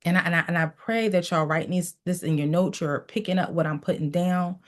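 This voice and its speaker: Low-pitched preachy woman coaching. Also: steady tone, a little fast. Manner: preachy, repeats phrases, tells listeners to write things down. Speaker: mindset coach. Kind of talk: motivational teaching session.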